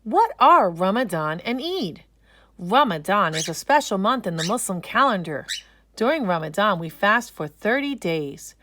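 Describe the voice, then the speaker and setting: A woman narrating a children's storybook aloud, expressive and explaining things for young listeners. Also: medium pitch, moderate pace, slight background noise.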